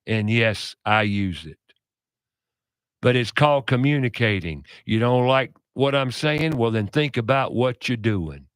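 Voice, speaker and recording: A man hosting a radio talk show, speaking in a loud, passionate, preachy way. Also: medium pitch, lively intonation, unhurried, clear, resonant tone, dry recording, clean studio sound.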